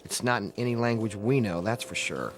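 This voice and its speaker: An actor playing a practical, matter-of-fact technician in a naturalistic radio drama. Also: male voice, lowish voice, fairly quick, music in the background, lightly acted.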